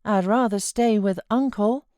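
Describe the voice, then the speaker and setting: A woman's voice: mid-range voice, a little slow, dark tone, no room sound, studio quality, voice pushed up, natural voice. A British woman voicing a sickly, fretful young boy in an audiobook, plaintive, childlike and questioning. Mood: perplexed, anxious. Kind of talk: audiobook character voice.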